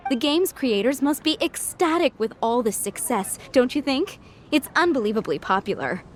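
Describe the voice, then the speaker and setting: A woman's voice: high-pitched, very animated, normal speed, soft background music. A woman voicing a friendly townswoman in a video game, giving event news in an informative, easygoing way. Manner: friendly, informative, light laugh. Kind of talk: game dialogue.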